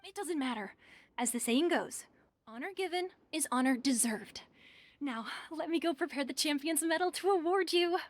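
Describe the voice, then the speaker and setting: Polite, high-voiced young official. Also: female voice, lively intonation, normal speed. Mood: polite, decisive. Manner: courteous, explanatory. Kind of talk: anime game voice acting.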